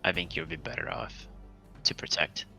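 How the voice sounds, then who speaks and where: A man's voice: medium pitch, big pitch swings, moderate pace, gravelly, bright, forceful tone, soft background music. A man chatting casually as a player in a tabletop roleplaying game.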